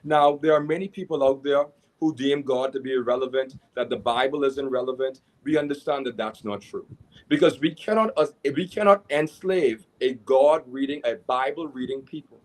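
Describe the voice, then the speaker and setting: A reverend speaks with earnest emphasis, in the manner of a short sermon. His voice sits at a middle pitch. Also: moderate pace, poor audio. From Bahamas. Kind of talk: preaching-style address.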